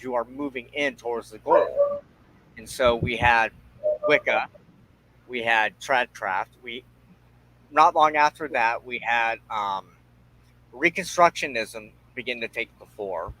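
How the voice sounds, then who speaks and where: A man talking through ideas in an unscripted discussion, halting and searching for words as he goes. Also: mid-range voice, sharp tone, low-quality recording. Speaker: co-host. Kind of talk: unscripted discussion of pagan spirituality.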